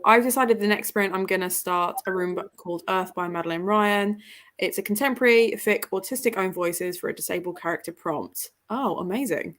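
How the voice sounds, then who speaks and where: A woman's voice: medium pitch, fairly bright tone. A woman hosting a casual book livestream, chatting and reading out comments in a jokey, self-deprecating way. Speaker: host of a book livestream with guests.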